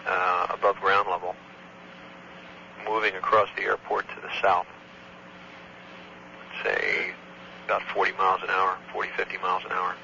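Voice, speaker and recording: Male air traffic controller, very forceful bright tone, halting factual delivery, lo-fi phone recording with faint hum. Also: sits low, completely flat delivery, creaky. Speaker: air traffic controller, tower area supervisor. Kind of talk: phone report of an incident, conversational eyewitness account.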